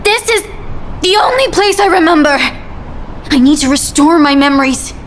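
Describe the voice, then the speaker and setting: A woman's voice: high voice, expressive, moderate pace, a little hiss or hum. An actress voicing a young woman with lost memories who is unsettled and determined to recover them, in a game cutscene.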